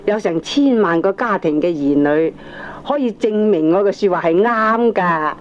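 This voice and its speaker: A woman's voice: mid-range voice, expressive, measured pace, lightly acted. Lady acting as bossy hong kong auntie giving a lecture, radio play, dull tape with faint noise. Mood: preachy, assured. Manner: lecturing, emphatic. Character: opinionated aunt, firm, preachy family adviser. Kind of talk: Cantonese radio drama acting. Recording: dull, slightly noisy old cassette recording.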